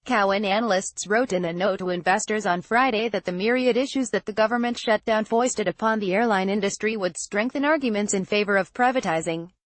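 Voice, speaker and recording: Woman reading a news article out loud, formal scripted read, average pitch, really dry sound. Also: a little fast, few pauses, dry recording. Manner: reads formally, word for word.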